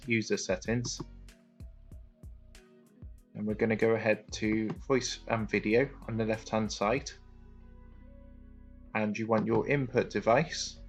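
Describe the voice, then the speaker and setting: A man's voice: fairly low pitch, dull audio. A man presenting a step-by-step tutorial in an instructional narration style. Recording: music playing behind the voice. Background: music.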